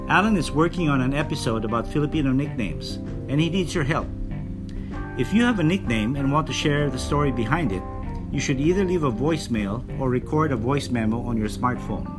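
An older man reading out a promo announcement in a brisk, friendly way. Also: medium pitch, animated. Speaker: host's father reading a promo. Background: guitar music.

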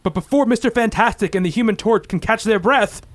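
A man's voice: sits high, brisk. A man narrating a comic-book radio play in a melodramatic, suspenseful scripted style. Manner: dramatic, suspenseful.

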